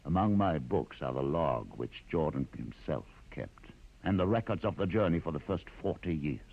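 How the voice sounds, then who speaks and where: An actor in a vintage radio drama playing a learned, commanding rebel leader with a measured, grandiose, theatrical delivery. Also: male voice, rumbling low, expressive, vocal fry, husky, exaggerated voice. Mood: solemn, narrating. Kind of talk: 1950s radio drama acting. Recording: dull, muffled vintage radio recording.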